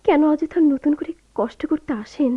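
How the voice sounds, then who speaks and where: A woman's voice: high voice, moderate pace, lightly acted. A woman acting an anguished young wife in a radio play, pleading and deferential with a tremulous delivery, on a lo-fi recording. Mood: sorrowful. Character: anguished young wife clinging to her missing husband. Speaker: radio drama actress. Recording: dull lo-fi radio drama recording.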